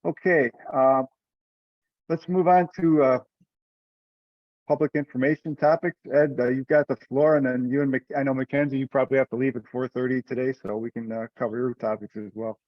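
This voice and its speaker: A man's dull, lo-fi voice with a middling pitch, chairing a conference call. He talks conversationally and rambles a little, with hesitations as he goes. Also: steady tone, brisk, dry recording. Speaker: meeting chair. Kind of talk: conversational teleconference talk. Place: remote group conference call.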